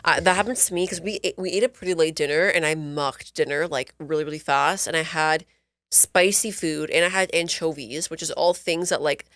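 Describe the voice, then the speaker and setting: Low voiced woman, talks fast, stuffy nasal cold voice, dry sound. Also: steady tone, a bit bright, no echo. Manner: chatty, full of "like" fillers. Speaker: podcast co-host. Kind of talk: casual chat.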